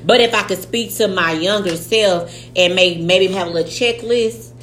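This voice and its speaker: A woman's voice: mid-range voice, normal speed, roomy sound, slight background noise. Slangy woman in her late thirties. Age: 30s. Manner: animated, slangy, swears freely. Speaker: podcast co-host. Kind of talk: casual chat.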